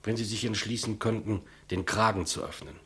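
An actor playing a humble, matter-of-fact state executioner, subdued and deferential, with a husky voice, in 1950s German radio play style. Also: male voice, fairly low pitch, a little fast, a bit bright, full character voice.

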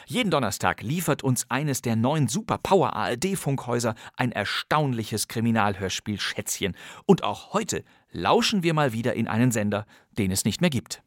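A man's voice: medium pitch, very animated, normal speed, few pauses, dry recording, clean studio sound. A man hosting a podcast, presenting vintage radio crime plays in a playful, theatrical way. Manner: playful, theatrical, relishing old thriller titles. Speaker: podcast host.

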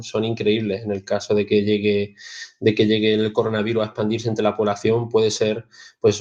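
A man's voice: fairly low pitch, darkish tone. A man giving explanatory commentary as a radio contributor. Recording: slightly dull, lo-fi remote audio.

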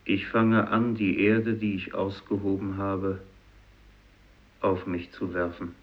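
Doomed fisherman, grave, very slow measured delivery, dull lo-fi radio drama recording. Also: sits low, level tone. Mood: grave, resigned. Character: grave Japanese fisherman, narrating his own burial, doomed. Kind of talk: 1950s literary radio play monologue.

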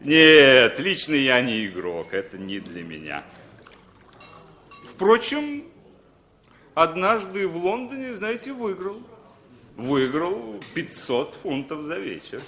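A man's voice: a little high, big pitch swings, slow, long pauses, pushed and bright, echoey room, slight background noise, exaggerated voice. An actor playing a talkative, worldly foreign capitalist in a radio play, chatty and persuasive, often repeating his words. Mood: chatty, modest. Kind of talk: 1960s Soviet radio play acting.

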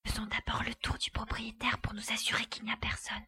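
A woman playing a determined, inquisitive investigator in a science fiction audio drama, with deliberate, assured, articulate delivery. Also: a little high, monotone, bright, forceful tone, whispered. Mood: hushed.

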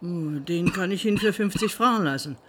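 An actor in a German radio play voicing an eager, obliging second-hand clothes seller with a salesy manner. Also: male voice, sits high, normal speed, a bit bright, a little hiss or hum.

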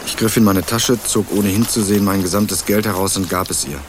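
A man's voice: low-pitched, fairly even intonation, brisk, background noise, natural voice. An actor playing a laconic, guarded young male student from Berlin in a matter-of-fact radio crime drama. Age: young adult. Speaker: narrator and lead actor. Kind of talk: first-person radio crime drama narration and dialogue.

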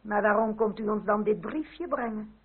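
A woman's voice: medium pitch, normal speed, husky. An actress playing a haughty, middle-aged French royalist noblewoman in a Dutch radio drama, speaking in a formal, imperious, genteel manner. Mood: indignant. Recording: tinny, band-limited, hissy old radio recording.

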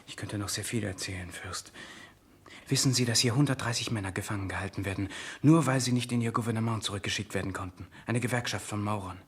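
An actor in a 1960s German radio drama playing a composed political prisoner, speaking in a measured, earnest and commanding way. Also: male voice, lowish voice, breathy edge. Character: composed political prisoner soberly petitioning for fellow convicts.

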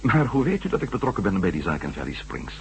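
An actor playing a sceptical, persistent former astronaut turned reporter in a radio drama, polite but challenging. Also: male voice, sits low, very animated, quick pace, slight background noise, poor audio. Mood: curious, sceptical.

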